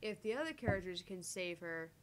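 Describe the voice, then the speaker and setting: A woman's voice: lowish voice, animated, a little fast, a little hiss or hum. A woman co-hosting a gaming livestream, chatting casually and reading game text aloud.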